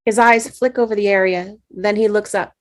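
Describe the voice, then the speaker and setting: A woman reading the stage directions at a script table read, steady with small slips. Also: mid-range voice, moderate pace.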